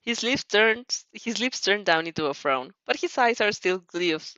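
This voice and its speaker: A woman's voice: medium pitch, expressive, moderate pace, bright, forceful tone, no room sound, dull audio. A woman narrating fan fiction expressively, giggly and tripping over words, with spontaneous reactions.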